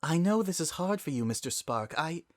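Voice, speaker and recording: A man voicing a regal female pony princess who speaks slowly and carefully in a dramatic story reading. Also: a little high, animated, voice pushed up, lightly acted. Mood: regretful. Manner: slow, measured, careful.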